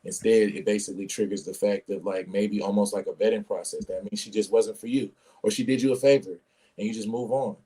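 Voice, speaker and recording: A man speaking as a podcast panelist, measured and explaining his points at length. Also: lowish voice, fairly even intonation, warm tone, slightly rough. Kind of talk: casual discussion.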